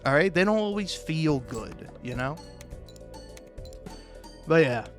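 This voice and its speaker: Rambling, sweary man with a higher-pitched voice. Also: lively intonation, slow, faint music behind. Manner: sweary, self-deprecating, meandering; chuckles often while talking. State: high on drugs. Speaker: video game streamer. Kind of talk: casual rambling gameplay commentary.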